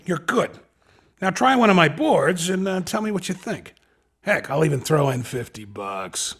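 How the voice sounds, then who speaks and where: Skid, high, raspy hoverboard hustler. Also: male voice, heavily acted. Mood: friendly, hustling. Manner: slangy, cocky sales-pitch patter. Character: Skid, upbeat young male hoverboard race promoter and racer. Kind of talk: scripted video game character dialogue.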